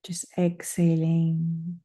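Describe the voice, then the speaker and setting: A woman leading a guided breathing meditation, speaking in slow, soothing, drawn-out phrases with long pauses. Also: sits low, completely flat delivery, warm tone.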